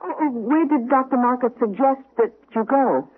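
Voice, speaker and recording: An actress playing a doting but firm middle-aged wife, speaking tenderly in an old radio drama. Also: female voice, high-pitched, moderate pace, slightly rough. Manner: tender and soothing. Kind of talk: 1970s radio drama acting. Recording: tinny old radio broadcast.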